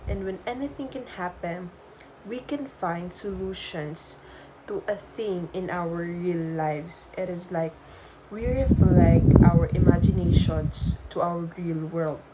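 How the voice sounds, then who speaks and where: A woman student presenter explains a lesson over very noisy recording. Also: lowish voice. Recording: very loud background noise.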